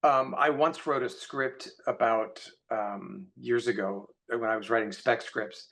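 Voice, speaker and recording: A man's voice: medium pitch, steady tone, unhurried, gravelly, fairly bright tone. Self-deprecating man chatting casually as guest. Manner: self-deprecating, joking, rambling. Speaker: author guest. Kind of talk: casual conversation.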